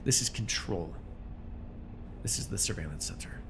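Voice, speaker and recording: A man's voice: deep voice, animated, moderate pace, noisy background. A man playing a smug, menacing male supervillain in an ASMR roleplay, taunting and commanding the listener with condescension. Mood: sly, menacing.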